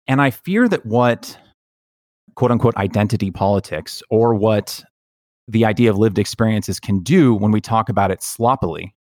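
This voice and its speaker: A man hosting a podcast, telling personal stories in an earnest, reflective, halting way. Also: on the low side, no echo, studio quality. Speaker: podcast host. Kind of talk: personal storytelling in conversation.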